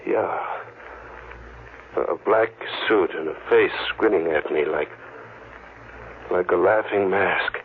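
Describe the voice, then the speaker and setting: Low-voiced jittery noir fugitive. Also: male voice, phone-quality sound. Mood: rattled. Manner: nervy, halting, defensive. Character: jittery, guilty fugitive man, hardboiled and haunted. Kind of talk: 1940s radio noir acting with first-person narration. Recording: noisy, thin old-time radio recording.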